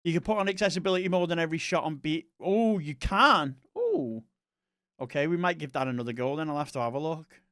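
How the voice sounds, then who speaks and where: A man's voice: on the high side, brisk, fairly bright tone, dry recording, studio quality. A man with a Northwest English accent, a mix of Manchester and Liverpool, chatting casually on a live stream, rambling and correcting himself as he reads viewer messages.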